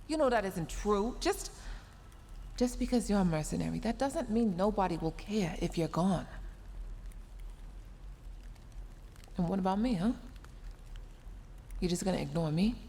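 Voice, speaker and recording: A woman's voice: mid-range voice, lively intonation, moderate pace, long pauses, warm tone. A woman playing a scolding but secretly caring young female mech engineer in a sci-fi audio roleplay, huffy, flustered and prone to stammering. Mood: hurt, indignant. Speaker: voice actor. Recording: long reverb, clear background noise.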